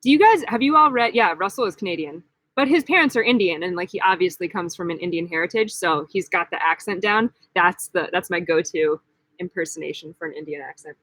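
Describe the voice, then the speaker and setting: A woman's voice: fairly low pitch, fairly quick, fairly bright tone, dry recording, rough recording. A young woman hosting a casual live-stream Q&A, chatty and giggly, full of likes. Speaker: vlog host.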